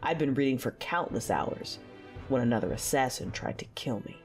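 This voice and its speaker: A woman's voice: a bass voice, lively intonation, normal speed, faint music behind. A woman playing a hardened fallen Jedi warrior, narrating her own story with controlled intensity in an audio drama. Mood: brooding, intense. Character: battle-hardened, dark-tempered female fallen Jedi warrior.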